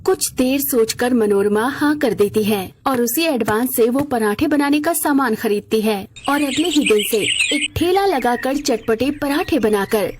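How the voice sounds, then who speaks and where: A woman's voice: a little high, measured pace, few pauses, background noise. A woman narrating a scripted moral story as its storyteller.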